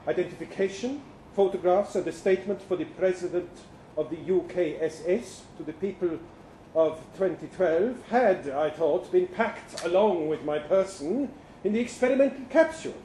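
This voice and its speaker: A man's voice: sits high, animated, normal speed, warm tone. A man reading a short story aloud as an earnest, bewildered professor from the future, speaking formally and hesitantly with precise diction. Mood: resigned, precise. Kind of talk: dramatic first-person short story reading. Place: indoor live literary reading event. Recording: roomy live venue, faint background noise.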